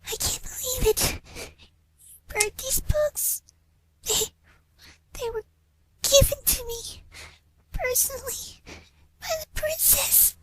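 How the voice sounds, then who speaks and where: A man voicing an anxious, easily upset young female pony librarian in a thin, halting falsetto for a fan fiction reading. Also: no echo, rough recording. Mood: tearful disbelief.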